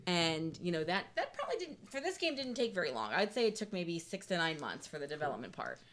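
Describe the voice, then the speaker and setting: Lower-pitched woman, chatting casually. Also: very animated, fast talker, fairly bright tone. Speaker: board game designer, guest. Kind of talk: casual conversational explanation.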